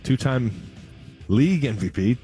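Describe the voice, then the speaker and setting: A man's voice: mid-range voice, expressive, a little slow, warm tone, slightly rough, soft background music. A man chatting casually about sports in slangy, playful banter.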